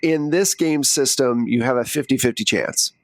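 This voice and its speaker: A man's voice: medium pitch, moderate pace, dry recording. A man running a tabletop roleplaying game, narrating in a conversational, improvised way as game master. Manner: conversational, second-person storytelling.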